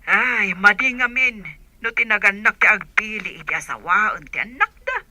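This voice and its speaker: A woman's voice: mid-range voice, expressive, moderate pace, sharp tone. A woman playing a concerned, probing godmother in an Ilocano radio drama.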